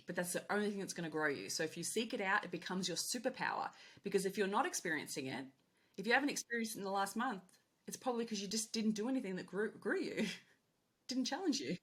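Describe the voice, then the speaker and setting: A husky-voiced woman speaks as a podcast guest, talking with lively energy about getting past self-doubt. She slips into little acted-out inner voices as she coaches. Her tone is slightly breathy and rough. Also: fairly low pitch. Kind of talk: casual interview chat.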